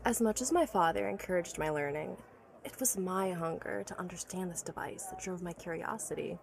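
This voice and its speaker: A woman's voice: on the low side, a little fast, creaky. A woman playing an obsessive, learned inventor who recounts her life's work earnestly and articulately in an audio drama. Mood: nostalgic, enraptured. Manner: articulate, earnest, stammers when awed by her subject.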